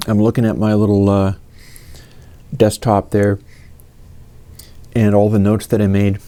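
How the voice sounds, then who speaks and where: A man's voice: lowish voice, completely flat delivery, unhurried, dark tone. A man in his fifties from the United States, answering viewer questions in a casual, rambling way with many fillers and restarts. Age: 50s.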